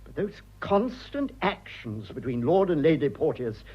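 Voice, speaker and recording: An actor playing a prim, fussy, earnest son with an upper-class English accent in a radio drama. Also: male voice, on the high side, sing-song, unhurried, slightly rough, dull audio, full character voice. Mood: indignant, rueful. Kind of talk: stage comedy of manners radio acting.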